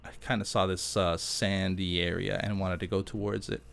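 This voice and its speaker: A man's voice: low-pitched, flat delivery, moderate pace, vocal fry, a bit bright, slight background noise. A man giving casual, chatty let's play commentary in an informal, self-deprecating and jokey way. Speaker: gaming YouTuber host.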